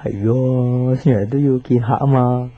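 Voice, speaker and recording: An actor with a Hong Kong Cantonese accent playing an easygoing, teasing young working boyfriend in a radio drama. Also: male voice, medium pitch, fairly even intonation, normal speed, lo-fi sound, lightly acted. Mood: casual, patient. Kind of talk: naturalistic radio drama dialogue.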